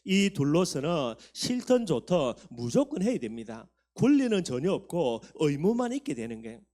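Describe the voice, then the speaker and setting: A man's voice: a little high, big pitch swings, normal speed, dark tone. A man in his fifties, a pastor preaching a sermon, talking earnestly to the congregation and building up for emphasis. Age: 50s.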